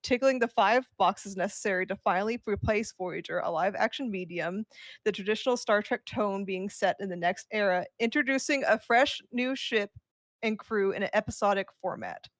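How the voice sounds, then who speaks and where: A woman's voice: mid-range voice, moderate pace, no room sound. A woman co-hosting a podcast, reading aloud and adding casual commentary. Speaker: podcast co-host.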